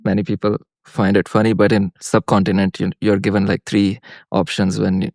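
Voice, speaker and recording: Podcast guest, man from Pakistan, low steady voice, earnest storyteller who restarts often, dry room. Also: vocal fry, dry recording. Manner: halting, frequent restarts and fillers, earnest. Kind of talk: casual conversational storytelling.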